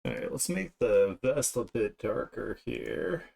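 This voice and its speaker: A man's voice: medium pitch, very animated, a little slow, creaky, darkish tone. A man chatting casually on a livestream as he works, thinking aloud and often trailing off mid-thought. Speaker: streamer, digital artist. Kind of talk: casual livestream chat while drawing.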